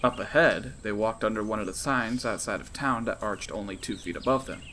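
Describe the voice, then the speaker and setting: A man's voice: on the low side, brisk, sharp tone, slight background noise. A man reading his own fantasy novel aloud as scripted audiobook narration.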